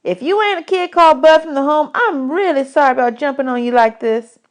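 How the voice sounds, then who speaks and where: A woman's voice: high-pitched, brisk, voice pushed up, close to an everyday voice. A woman voicing an eager, streetwise runaway boy with folksy, slangy talk in a storybook reading. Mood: apologetic.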